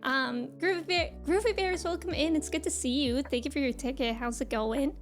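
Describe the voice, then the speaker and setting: High-pitched chatty woman streamer. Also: normal speed, soft background music. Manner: chatty, informal, giggly. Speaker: live game streamer hosting. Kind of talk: casual livestream chat greeting viewers.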